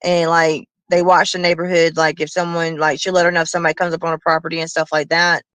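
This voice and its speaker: A woman speaking softly and agreeably as a panel guest, with some hesitation. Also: deep voice, flat delivery, quick pace, no room sound. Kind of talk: casual livestream chat.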